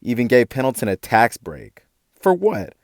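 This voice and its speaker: Radio drama: argumentative teen rebel, sarcastic, medium register, close dry recording. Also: male voice, medium pitch, big pitch swings, moderate pace, vocal fry, a little mellow, dry recording. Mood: defiant, accusing. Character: defiant eighteen-year-old male activist, sardonic younger brother.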